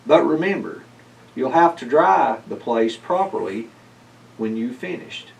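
A man's voice: mid-range voice, animated, a little slow, vocal fry, far from the mic, rough recording. A man voicing a grumbling, practical old man in a children's storybook read-aloud. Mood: resigned. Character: grumbling, practical old male farmer-like homebody.

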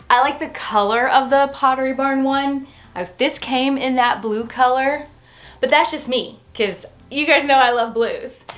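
Expressive, high-voiced woman vlogging casually. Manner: gushing, expressive. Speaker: home decor vlogger. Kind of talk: casual, chatty review to camera. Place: furnished bedroom indoors.

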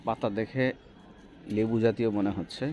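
A man's voice: fairly low pitch, steady tone, moderate pace, a little mellow. A man with a Bangladeshi accent chatting casually as a vlogger, musing aloud and asking questions while filming outdoors on a handheld phone. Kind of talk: casual spoken commentary while filming plants. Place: outdoors on a rooftop garden. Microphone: handheld phone behind the camera. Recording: phone recording with clear outdoor ambient noise.

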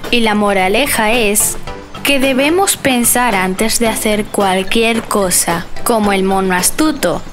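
A woman narrating a children's bedtime story in a gentle, clear storytelling manner. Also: medium pitch, a little slow. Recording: narration over music.

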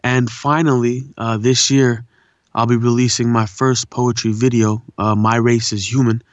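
A man's voice: medium pitch, flat delivery, normal speed, dry recording, muffled recording. A man born in India and raised in Southern California, with an urban SoCal accent, chatting earnestly as a voice actor guest and often saying "man". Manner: laid-back, earnest, says "man" a lot. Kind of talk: casual interview chat.

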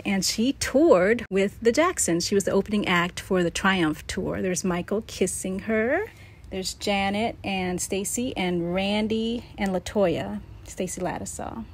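A woman's voice: mid-range voice, measured pace, slightly rough. A middle-aged woman casually reminiscing as she narrates, like a vlogger going through keepsakes.

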